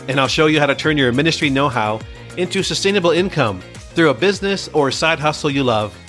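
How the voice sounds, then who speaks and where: A man's voice: fairly high pitch, fairly bright tone. Middle-aged man from Michigan, pastor-coach podcast host; stuffy, congested voice; earnest, chatty delivery. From Michigan, USA. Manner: earnest, conversational, with frequent uh fillers. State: congested from a cold. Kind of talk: solo podcast monologue.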